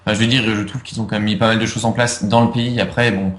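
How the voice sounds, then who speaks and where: A man's voice: on the low side, level tone, fast, a bit bright, reverberant, a little hiss or hum. A young man answering interview questions in casual chat, hesitant, with many fillers and restarts. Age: young adult. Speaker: interviewee, video creator.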